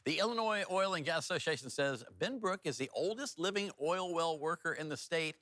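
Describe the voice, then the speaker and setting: A man's voice: fairly high pitch, lively intonation, a little fast, pushed and bright, no echo. A man anchoring a television newscast in a chatty, informal way. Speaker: news anchor.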